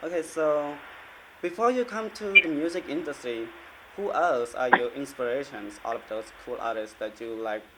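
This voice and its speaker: A man's voice: medium pitch, moderate pace, heavy background noise. A man, a Khmer speaker, asking prepared interview questions.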